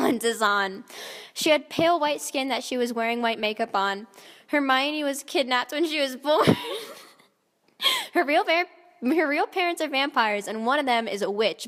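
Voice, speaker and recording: High-voiced woman reading in a reverberant space. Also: fairly bright tone. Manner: theatrical, often breaking into laughter. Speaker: narrator, reader. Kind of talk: comedic dramatic reading of fan fiction. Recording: long hall reverb.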